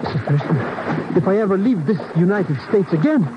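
An actor playing a folksy, slightly flustered American sidekick in a 1950s radio drama. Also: male voice, high-pitched, expressive, fairly quick. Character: folksy, jittery, loyal American male sidekick. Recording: noisy, dull old radio recording.

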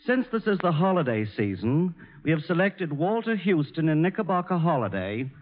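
A man presenting a 1940s radio program, giving a formal, courteous scripted address. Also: a little high. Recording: tinny old radio recording, reverberant hall.

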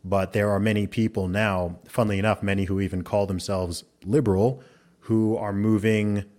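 Deep-voiced man hosting a podcast. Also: level tone, a little mellow. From Saudi Arabia. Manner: measured, reflective, builds long arguments. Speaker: podcast host. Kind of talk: conversational interview.

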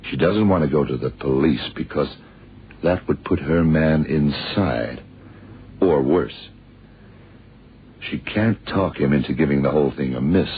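A world-weary detective with a rumbling, gravelly bass voice. He muses deliberately in terse slang, shrewd and unhurried. It sounds like a tinny, muffled radio thriller from decades ago. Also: exaggerated voice. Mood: musing, deducing. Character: shrewd, world-weary male American detective thinking aloud. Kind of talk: 1960s-70s radio drama acting.

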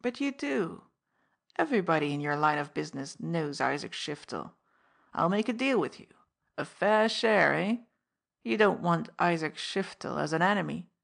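A woman voicing a scheming older male diamond fence who snarls, speaking hoarsely and loudly. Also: on the low side, normal speed. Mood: wheedling. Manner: fierce, then wheedling.

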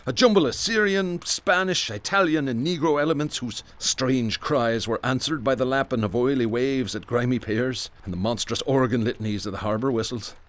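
A man's voice: mid-range voice, normal speed, few pauses, a bit bright, natural voice. Man as brooding, bookish police detective. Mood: haunted. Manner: ornate, literary turns of phrase. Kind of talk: radio drama acting.

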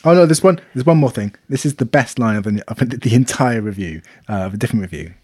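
Animated man on a dry mic. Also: mid-range voice, fast, husky, dry recording. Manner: animated, laughing, sweary. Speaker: podcast co-host. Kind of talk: casual chat, reading out reviews.

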